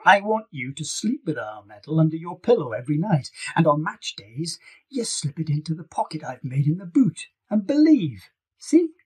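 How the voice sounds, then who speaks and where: Old grandfather acted by a man, storybook dialogue, husky, emphatic, affectionate, reassuring. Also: fairly high pitch, very animated, moderate pace. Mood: firm, fond. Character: affectionate, firm old grandfather passing on belief. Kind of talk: acted dialogue in an audiobook reading.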